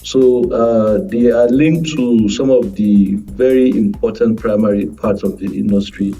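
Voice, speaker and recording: Very dark-voiced Nigerian man, distant mic. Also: on the low side. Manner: measured, wordy, hesitant phrasing. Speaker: guest governance commentator. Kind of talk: formal analytical panel commentary. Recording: muffled distant microphone, faint music behind.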